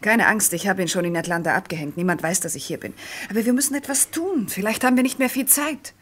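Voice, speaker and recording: An actress playing an anxious, conspiratorial smuggling accomplice in a vintage German radio crime drama, speaking with urgency. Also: female voice, mid-range voice, expressive, fast talker, fairly bright tone. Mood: urgent, tense.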